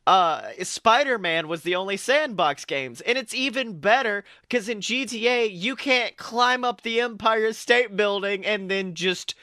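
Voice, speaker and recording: High, bright-voiced excitable man. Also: a little slow, few pauses. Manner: excitable, swears freely, laughs often. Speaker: podcast co-host. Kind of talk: casual pop-culture chat.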